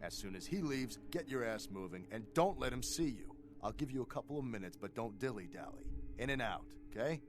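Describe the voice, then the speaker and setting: An actor playing an irritable, sarcastic crooked cop in a video game, exasperated, wisecracking and bossy. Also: male voice, medium pitch, expressive, fairly quick, few pauses, over music, close to an everyday voice. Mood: brisk, bossy.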